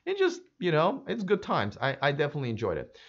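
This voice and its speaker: A hoarse man hosting his own channel, chatting casually and speculating aloud, with many fillers and restarts. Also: on the high side, huge pitch swings, fast, dull audio. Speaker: YouTube electric car channel host. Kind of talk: casual solo commentary to camera.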